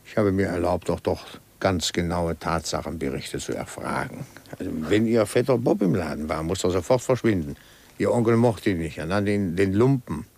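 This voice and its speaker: An older male actor playing a methodical retired police inspector in a 1950s German radio drama, gravelly and emphatic, with dry amusement. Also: deep voice, animated, moderate pace, a little mellow, heavily acted. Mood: dryly amused.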